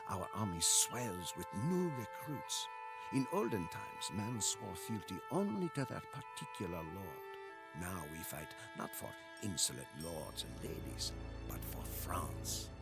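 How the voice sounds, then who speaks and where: An actor narrating as a weary, devoted medieval French soldier, solemn and storyteller-like, in a scripted game cutscene. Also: male voice, deep voice, wildly swooping pitch, unhurried, creaky, heavily acted. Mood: solemn, reflective. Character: weary, devoted French soldier chronicling Joan of Arc's war. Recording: music behind the voice.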